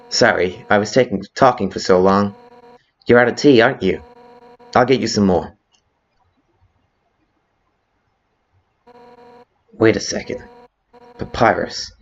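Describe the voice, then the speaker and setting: A man's voice: lowish voice, lo-fi sound, natural voice. A man voicing a brash, hot-headed female monster guard captain with blunt, informal delivery in a video game fan dub. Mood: earnest. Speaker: character voice actor in a fan dub. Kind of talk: dramatic video game dialogue. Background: background music.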